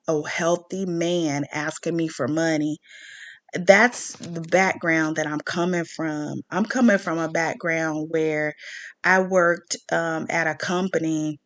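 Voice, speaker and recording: A woman's voice: deep voice, fairly even intonation, takes it slowly, a bit bright, no room sound. A woman with a Southern American accent in a casual personal rant, speaking emphatically and repeating phrases for stress. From southern United States. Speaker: online commentary host.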